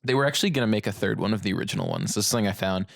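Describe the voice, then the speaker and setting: A man's voice: lowish voice, rapid-fire, gravelly. A man co-hosting a podcast in a casual, conversational way, ready to argue his point. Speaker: podcast co-host. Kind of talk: casual film discussion.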